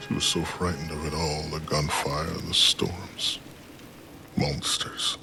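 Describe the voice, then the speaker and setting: An actor playing a weary, grieving father and war survivor in a video game cutscene, with a low, gruff delivery. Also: little pitch movement, takes it slowly, background noise, exaggerated voice. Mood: somber, wistful.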